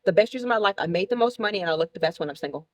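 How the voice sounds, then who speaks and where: A woman's voice: medium pitch, lively intonation, racing. A woman in her late thirties telling blunt, laughing stories as a guest in a candid casual talk show chat. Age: 30s. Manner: brash, laughing, blunt storytelling.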